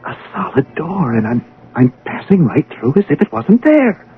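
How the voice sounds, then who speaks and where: An American actor playing a proud young scientist, shaken and bewildered, with intense, halting delivery in a radio drama. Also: male voice, a little high, big pitch swings, narrow, tinny audio. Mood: puzzled. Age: young adult. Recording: noisy, band-limited old radio broadcast.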